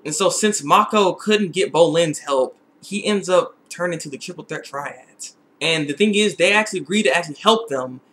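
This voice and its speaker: A young man giving a casual, excitable episode recap, repeating phrases for emphasis. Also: a little high, normal speed, few pauses. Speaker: TV episode reviewer. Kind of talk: casual video recap and review.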